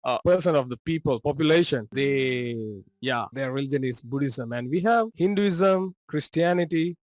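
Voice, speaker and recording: A man with a Sri Lankan accent, Tamil by birth, shows slides to students over a video call. His voice sits somewhat high and sounds close and dry, and he talks in a friendly, loose way, full of small fillers. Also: moderate pace, no room sound, low-quality recording. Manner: friendly, loose, with many fillers like "you know" and "uh". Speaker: guest presenter.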